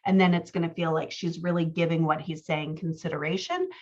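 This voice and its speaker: A woman's voice: deep voice, fairly even intonation, fairly quick, dull audio. A woman giving a conversational manuscript critique as a writing coach, explaining and thinking aloud. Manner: explanatory, thinking aloud, often checking with "right?". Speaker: writing coach and podcast host.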